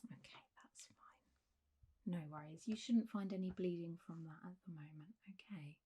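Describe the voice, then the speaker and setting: A woman playing a calm zombie doctor in a soft-spoken ASMR roleplay, gentle, reassuring and a little hesitant, sometimes slipping towards a whisper. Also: fairly low pitch, a little slow, husky. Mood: soothing, attentive.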